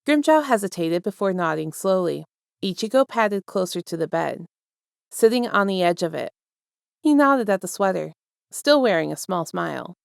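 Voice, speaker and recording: A woman's voice: fairly low pitch, big pitch swings, moderate pace, vocal fry, no echo, studio quality. A woman reading fan fiction aloud as a storyteller and speaking to her listeners. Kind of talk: scripted story narration, casual outro.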